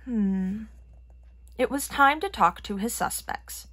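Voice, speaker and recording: A woman reading a children's picture book aloud in an expressive manner. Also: a little high, slow pace. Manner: expressive, acting out characters' lines. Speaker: storybook reader.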